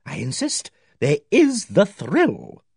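A man reading aloud, voicing an effusive, fussy older male village gossip who talks in a theatrical, drawn-out way. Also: on the high side, wildly swooping pitch, vocal fry, a little mellow, slightly rough, dry recording, studio quality, pitched above the performer's own voice, heavily acted. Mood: gushing.

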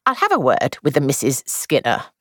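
A woman reader voices an elderly spinster with a crisp, dry manner. The voice sits very low yet moves a lot in pitch. The recording is close and dry. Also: huge pitch swings, a little fast, a bit bright, dry recording, full character voice.

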